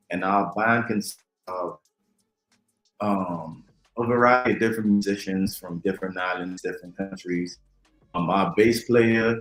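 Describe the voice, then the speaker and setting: A man from the Bahamas, a guest talking unhurriedly about his music, with many ums and pauses. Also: low voice. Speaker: DJ and drummer, interview guest. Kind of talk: relaxed conversational interview. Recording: echoey room.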